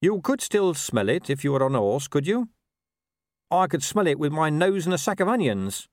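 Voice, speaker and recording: A man plays a scruffy talking dog for an audiobook, pitched up high. He is blunt but wary, with informal, hesitant phrasing and lively swings of pitch. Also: normal speed, no room sound, studio quality. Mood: awkward, careful. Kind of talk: audiobook character voice.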